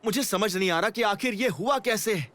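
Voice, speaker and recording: High-voiced, fretful cartoon inventor. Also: male voice, moderate pace, a bit bright. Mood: dismayed. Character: vain, anxious male game inventor, easily exasperated. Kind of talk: animated comedy dub.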